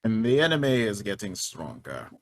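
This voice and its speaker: An actor playing a solemn storyteller of ancient legend, narrating a script portentously and dramatically. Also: male voice, fairly low pitch, very animated, moderate pace, soft background music. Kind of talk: scripted narration at a table read.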